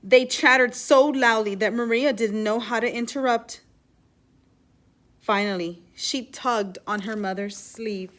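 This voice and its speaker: A woman with a fairly high voice reads a children's storybook aloud. She is expressive in her delivery and breaks off now and then to explain or ask her young listeners a question. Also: unhurried. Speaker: teacher reading aloud.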